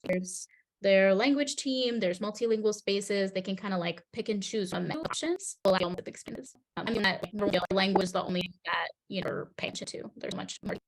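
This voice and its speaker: A woman's voice: medium pitch, a little fast, a little hiss or hum, low-quality recording. Woman running a group meeting, thoughtful, keeps hunting for words. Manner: thoughtful, searching for words, affirming. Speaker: meeting facilitator. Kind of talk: facilitating a group discussion.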